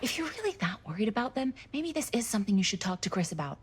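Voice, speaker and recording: Husky, lively young woman. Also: medium pitch, quick pace. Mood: earnest. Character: earnest, teasing young woman writing film scenes. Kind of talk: naturalistic horror game dialogue.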